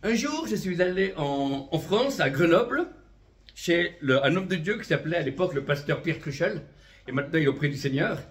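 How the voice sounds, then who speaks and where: A man's voice: on the high side, animated, brisk, a little hiss or hum. A man in his fifties, originally from Vietnam, an itinerant prophetic minister giving a religious testimony, speaking fervently and often adding amen and voilà. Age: 50s. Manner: fervent, peppers speech with amen and voilà, light chuckles.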